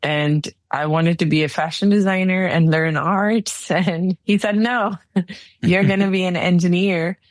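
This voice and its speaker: Woman, 40s, from Pakistan, lowish voice, dry close sound, relaxed storytelling. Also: a little slow, dry recording. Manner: relaxed storytelling with light laughs. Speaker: guest, engineering executive. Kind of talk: casual interview answers.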